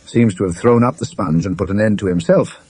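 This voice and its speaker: A man with a British accent voicing a mature, companionable, mildly flippant gentleman in a storybook tale, in a breezy conversational way. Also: on the low side, fairly quick, husky, no room sound. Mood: musing.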